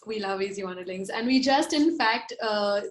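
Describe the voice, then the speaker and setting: A woman's voice: medium pitch, animated, moderate pace, echoey room, a little hiss or hum. A woman with an Indian accent chatting casually as a musician guest, gushing and enthusiastic. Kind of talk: casual chat.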